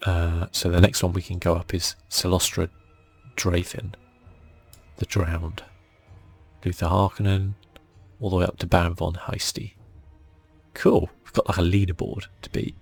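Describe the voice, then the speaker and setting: A man hosting a relaxed ASMR video game playthrough, speaking softly and musing aloud as he goes. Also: low-pitched, a little slow, vocal fry, husky, soft background music. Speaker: gaming channel host.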